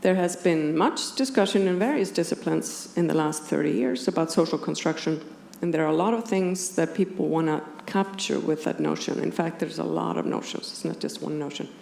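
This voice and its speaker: This is a woman with an Icelandic accent, a native Icelandic speaker, presenting a philosophy talk. Her voice is mellow and fairly low, with a noticeable creak in it. She explains things in a measured, precise, partly scripted academic way. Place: indoor conference room with audience. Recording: roomy conference hall, clearly audible background noise.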